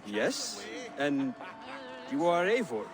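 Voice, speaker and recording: A man's voice: on the high side, wildly swooping pitch, slow pace, exaggerated voice. A man playing a polite young foreign apprentice in a game, earnest and reserved. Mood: polite, guarded. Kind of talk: cinematic video game acting. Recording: crowd chatter behind the voice. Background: crowd at a feast.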